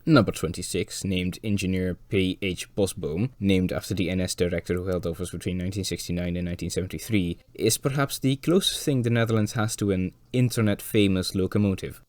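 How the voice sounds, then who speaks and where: A man with a slightly hoarse voice from a cold, narrating a scripted railway documentary with dry, wry asides. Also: low-pitched, fairly even intonation, brisk, few pauses, darkish tone, dry recording. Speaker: railway video presenter.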